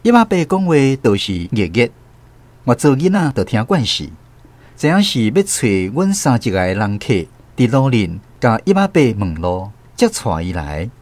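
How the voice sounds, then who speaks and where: A man's voice: mid-range voice, big pitch swings, normal speed, a little mellow, natural voice. A man with a Taiwanese accent playing a storyteller who recalls his village childhood in a radio drama. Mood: reminiscent, animated storytelling.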